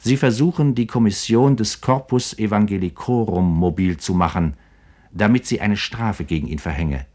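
A man's voice: lowish voice, normal speed, warm tone. A man reading scripted narration for a literary radio feature in a formal, articulate manner.

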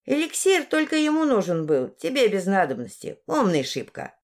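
A woman's voice: mid-range voice, big pitch swings, moderate pace, natural voice. A woman voicing a grumpy, folksy old village crone in a fairy-tale audiobook, grumbling and snapping in rustic dialect. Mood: offended, snappy.

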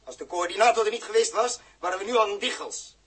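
A man's voice: sits high, quick pace, fairly bright tone, breathy edge. A man acting a fiery, blunt spaceship captain with a Dutch accent and emphatic delivery in a 1960s radio drama. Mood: angry, bitter. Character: fiery male spaceship captain, blunt, indignant, hard-driven. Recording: muffled-edged vintage 1960s radio recording.

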